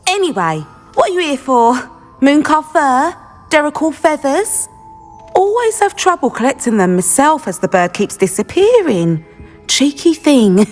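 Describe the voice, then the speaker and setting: Gossipy shopkeeper woman, high and lively, brisk chatter, reverby space with faint music. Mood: chatty. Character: chatty middle-aged female beast shopkeeper. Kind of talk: video game voice acting.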